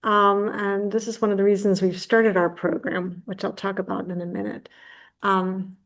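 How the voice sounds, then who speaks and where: A woman's voice: mid-range voice, level tone, moderate pace. American woman professor, chatty presenter on a video call, easygoing tone. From United States. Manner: chatty, jokes and laughs lightly. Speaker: professor presenting a degree program. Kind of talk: online info session presentation.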